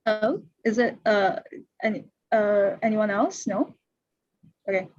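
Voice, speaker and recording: A woman's voice: mid-range voice, takes it slowly, a little mellow, no room sound, muffled recording. A woman of twenty-one hosting an online panel, chatty, with many fillers and restarts. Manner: chatty, heavy use of "like" and restarts. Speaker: panel moderator. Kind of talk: casual online workshop hosting. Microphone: video call.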